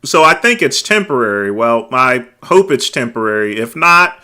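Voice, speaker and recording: A middle-aged man hosting a casual late-night livestream, talking loudly and easygoing, chatting with his viewers. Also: medium pitch, very animated, moderate pace, clear, resonant tone. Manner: loud, laid-back, drawls filler words like "there". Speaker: livestream host.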